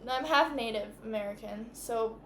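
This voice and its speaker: A woman from Quebec speaks in a high voice, chatting casually and a little hesitantly on a livestream. The room adds noticeable echo, with background noise behind the voice. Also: normal speed, a bit bright. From Quebec, Canada. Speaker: livestream guest and game partner.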